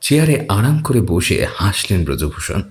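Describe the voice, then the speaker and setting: A man narrating a scripted horror audio story as its storyteller, in a dramatic, expressive manner. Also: deep voice, moderate pace.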